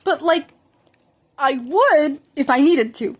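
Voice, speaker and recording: A woman voicing an excitable, polite teenage boy hero-in-training who rambles and stammers, in a story reading. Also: high voice, slow. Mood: awkward.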